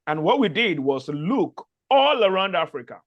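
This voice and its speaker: A man's voice: high-pitched, big pitch swings, normal speed, dull audio. A man from Nigeria with a Nigerian accent, English not his first language, giving an earnest onboarding talk to a fellowship cohort with frequent restarts. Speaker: fellowship organizer.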